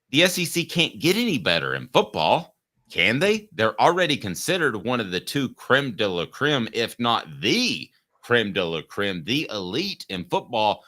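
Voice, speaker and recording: A man's voice: medium pitch, sharp tone. A man in his fifties hosting a sports talk show, chatty and jokey, asking long questions of his co-host. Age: 50s.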